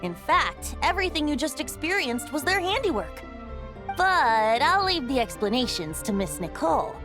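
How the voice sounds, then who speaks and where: Genial, lyrical lady for a game, high register, expressive melody, courteous delivery. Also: moderate pace, faint music behind. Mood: gracious. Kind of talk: video game voice acting.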